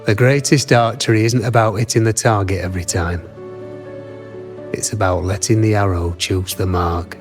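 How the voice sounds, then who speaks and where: An actor voicing a wise, terse weaponsmith in a game, speaking in measured sayings. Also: male voice, on the low side, steady tone, faint music behind, close to an everyday voice. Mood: calm. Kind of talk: game voice acting.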